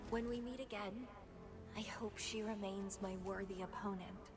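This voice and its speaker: An actress voicing an intense, lovelorn and unhinged young woman fighter in a slow, theatrical video game monologue. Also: medium pitch, fairly even intonation, close to an everyday voice. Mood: resolute. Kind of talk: dramatic video game voice acting. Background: dramatic game music.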